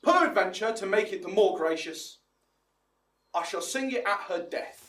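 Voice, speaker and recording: A man's voice: sits high, lively intonation, moderate pace, a bit bright. A man playing an exuberant, self-important amateur actor in a theatrical Shakespearean audio drama, declaiming grandly and bossily. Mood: dreamy wonder. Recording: roomy, distant mic, music behind.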